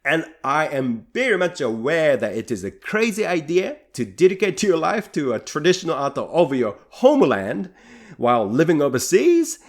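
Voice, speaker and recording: A man from Japan with a Japanese accent who hosts a solo podcast as a rakugo performer, talking in an earnest, reflective way with a light self-deprecating humour. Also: fairly high pitch.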